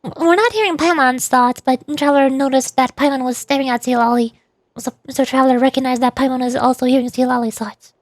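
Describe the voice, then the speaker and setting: A woman's voice: sits high, fairly even intonation, brisk, dry recording. A woman streamer giving casual commentary on a game she is playing, close to the microphone. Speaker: streamer and VTuber.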